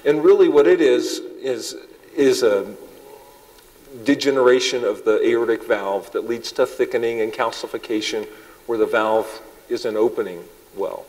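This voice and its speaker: Man, loud voice, halting public lecture, lots of ums, big echoing hall. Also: medium pitch. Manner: halting, many ums and restarts. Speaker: cardiologist giving a public talk. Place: large hall with audience. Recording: long hall reverb.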